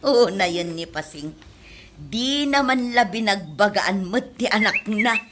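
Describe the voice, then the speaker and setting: A woman's voice: medium pitch, expressive, unhurried, background noise, lightly acted. A woman with an Ilocano accent playing a gossipy, mocking village mother in a radio comedy drama.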